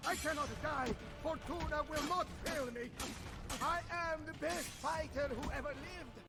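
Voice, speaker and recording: High-pitched, raging, arrogant villain nobleman. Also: unhurried, gravelly, heavily acted. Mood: furious, defiant. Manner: grandiose, shouting declarations. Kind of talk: dramatic video game cutscene acting. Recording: loud music behind the voice. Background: loud music.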